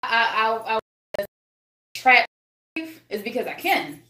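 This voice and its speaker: Woman rambling in podcast chat, bit high voice, lots of restarts, echoey room. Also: animated, takes it slowly, sharp tone. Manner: rambling, swears casually, many restarts. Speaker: guest singer.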